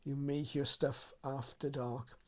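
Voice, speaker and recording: A man's voice: medium pitch, flat delivery, takes it slowly. A man hosting a radio how-to video, talking in a casual, unscripted and explanatory way.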